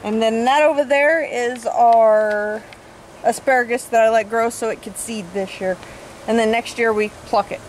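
A woman's voice: a little high, steady tone, moderate pace, low-quality recording. A woman talking casually off camera, naming things in short, plain phrases. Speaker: vlogger's wife pointing out garden plants. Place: outdoor garden beside a mobile home. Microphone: handheld camera, speaker off-screen. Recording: faint outdoor noise, off-camera.

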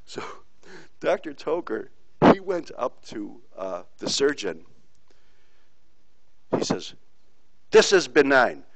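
A man's voice: medium pitch, wildly swooping pitch, slow pace, gravelly, long pauses, noisy background. A middle-aged man giving an informal online pathology lecture, rambling through stories and speaking bluntly. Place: teaching from Puerto Rico.

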